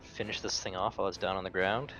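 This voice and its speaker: A man's voice: low-pitched, little pitch movement, fast, fairly bright tone, low-quality recording. A man playing a tabletop roleplaying game, casually stating his character's moves. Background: background music.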